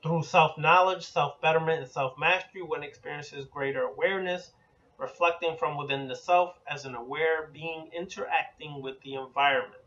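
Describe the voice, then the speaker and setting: A man's voice: fairly high pitch, steady tone, pushed and bright, lo-fi sound. Man lecturing from a distant mic. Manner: measured, deliberate, builds in spoken lists. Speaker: occult teacher. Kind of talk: spoken lecture.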